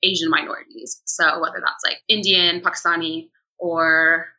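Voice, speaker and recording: A woman's voice: fairly low pitch, moderate pace, low-quality recording. A young American woman telling personal stories in a candid, conversational way as a podcast guest, often acting out what people said. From United States. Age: young adult. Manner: animated, quotes herself and others in anecdotes.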